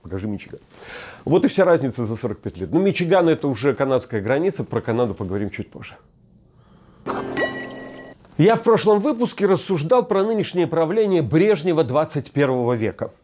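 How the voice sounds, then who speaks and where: Wry, mellow-voiced male host. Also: on the high side, sing-song, a little fast. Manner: wry, ironic, reflective. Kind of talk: scripted monologue commentary. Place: hotel room.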